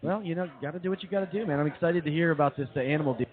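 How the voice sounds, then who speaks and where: Slightly high voiced dude co-hosting comedy radio, slangy and quick, tinny sound. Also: male voice. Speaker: comedy show co-host. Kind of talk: casual slangy banter. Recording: narrow phone-like radio stream.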